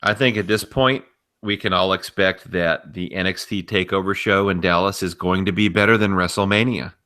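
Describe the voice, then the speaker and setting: A man's voice: sits low, fairly even intonation, moderate pace, sharp tone. A man co-hosting a podcast, giving emphatic, opinionated commentary on wrestling in a casual conversational style. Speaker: podcast co-host.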